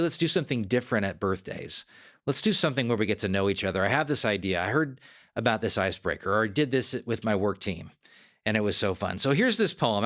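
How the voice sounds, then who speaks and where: A man's voice: fairly low pitch, a bit bright. A man hosting a self-help podcast, speaking in an earnest, conversational coaching manner straight to the listener. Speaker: podcast host.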